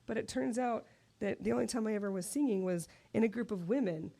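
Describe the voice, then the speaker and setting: A woman with a deep voice co-hosts a relaxed podcast. She chats and giggles a lot, sounding worn down from being sick. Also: fast talker, creaky. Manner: giggly, chatty. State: worn out from flu. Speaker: podcast co-host. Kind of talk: casual chat.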